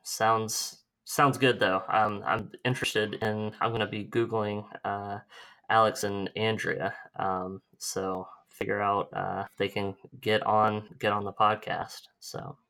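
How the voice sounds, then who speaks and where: A man hosts a casual podcast interview. He is relaxed and easygoing, laughing often as he talks. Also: fairly low pitch, slow, vocal fry, rough recording. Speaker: podcast host.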